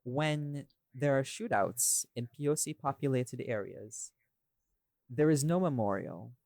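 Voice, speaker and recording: A man's voice: medium pitch, measured pace, gravelly, darkish tone, no room sound, clean studio sound. A man hosting a casual podcast, explaining his creative choices emphatically and speaking straight to his listeners. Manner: emphatic, addresses listeners directly. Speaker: podcast host and audio drama creator.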